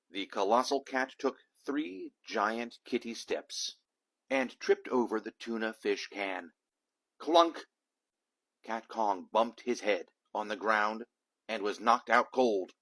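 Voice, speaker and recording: A man reading a children's picture book aloud in an animated, theatrical way, with dramatic emphasis. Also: on the low side, slow pace. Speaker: storybook reader, a dad reading aloud.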